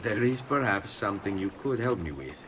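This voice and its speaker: Man playing bold young Italian hero, lively pitch. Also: brisk, noisy background, close to an everyday voice. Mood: assured. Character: confident, protective, charming young swordsman hero. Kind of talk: video game cutscene acting. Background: music behind the voice.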